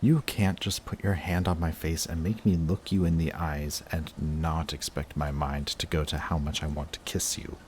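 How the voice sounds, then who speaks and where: A man playing a guarded villain in love with a rival, in an intimate audio roleplay with halting, emotional delivery. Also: rumbling low, steady tone, slight background noise. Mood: flustered. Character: lovestruck male villain, guarded, flustered, confessing love to rival.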